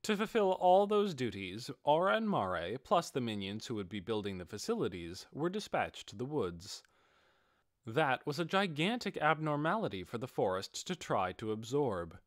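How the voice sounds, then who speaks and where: A man narrates a fantasy audiobook from a script. His pitch is mid-range and moves in a very animated way, and the sound is close and dry with no room around it. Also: normal speed, dry recording.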